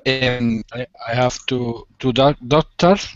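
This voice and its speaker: A man's voice: medium pitch, takes it slowly, dry recording, muffled recording. A man in his fifties from Spain, speaking English with a Spanish accent, hesitant and searching for words. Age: 50s. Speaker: student.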